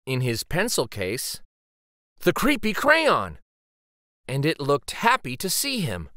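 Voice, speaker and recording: A man reading a children's picture book aloud as dramatic, suspenseful narration, with theatrical pauses and emphasis. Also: on the high side, huge pitch swings, bright, forceful tone, no room sound, studio quality.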